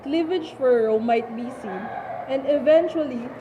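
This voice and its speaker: A woman's voice: high-pitched, measured pace, muffled recording. A woman presenting scripted lines for an amateur biology puppet show. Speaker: student presenter playing a doctor. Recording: clearly noisy amateur recording.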